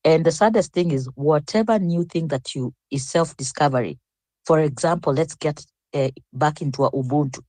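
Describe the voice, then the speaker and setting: A woman's voice: low-pitched, normal speed, a little mellow, no room sound. An older woman speaking as a panelist in an online discussion, reflective and rambling with frequent restarts.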